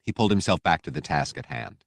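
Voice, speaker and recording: A man with a deep voice narrates scripted fiction. His delivery is measured and dramatic, in the manner of audiobook storytelling. The sound is dry, with no room to it. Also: expressive, vocal fry, no echo.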